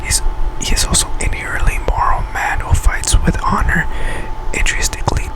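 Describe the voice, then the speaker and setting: A man reading an article aloud in an ASMR whisper, halting and often stumbling over words and correcting himself. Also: poor audio. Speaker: ASMR channel host.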